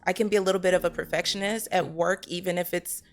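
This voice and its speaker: Young woman guest on a talk show, lowish voice, candid animated storyteller, music behind her. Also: fast. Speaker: guest actress being interviewed. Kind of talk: casual interview chat.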